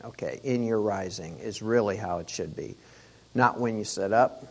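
A man teaching a weekly Torah portion, hoarse from losing his voice, talking conversationally with rhetorical questions. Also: fairly low pitch, moderate pace, a little mellow.

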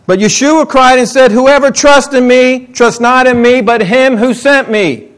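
A man with a high-pitched voice delivers a sermon to his congregation. He is middle-aged and talks casually, rising into eager emphasis and then dropping into low, mumbled asides. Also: a little slow. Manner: conversational, swinging between excited highs and muttered asides. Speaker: pastor.

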